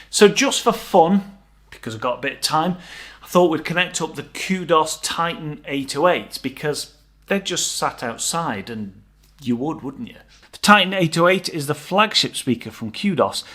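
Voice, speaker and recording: A man's voice: fairly high pitch, fairly bright tone. A man presenting a product overview, moving between scripted technical narration and informal, understated personal opinion. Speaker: hi-fi shop presenter and reviewer.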